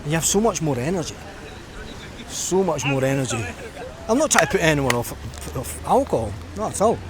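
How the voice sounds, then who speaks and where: A man's voice: fairly high pitch, sing-song, fairly quick, crowd noise. A middle-aged man with a Scottish accent chatting casually and reflectively as he vlogs while walking. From Scotland. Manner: reflective, informal, conversational. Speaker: vlogger. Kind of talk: casual rambling vlog chat while walking. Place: outdoors, walking along a busy seafront. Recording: outdoor street noise with traffic.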